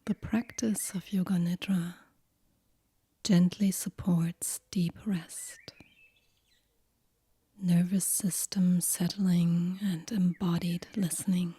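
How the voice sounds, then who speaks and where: Female meditation guide: very soft and dark in tone, whispery and breathy, unhurried, gentle, soothing delivery. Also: fairly low pitch, flat delivery, dry recording. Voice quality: breathy, hushed, near-whisper. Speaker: yoga nidra meditation guide.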